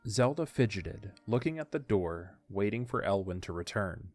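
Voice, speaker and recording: A man's voice: fairly low pitch, lively intonation, moderate pace, a little mellow, no room sound, soft background music. A man narrating a scripted audio drama as its storyteller.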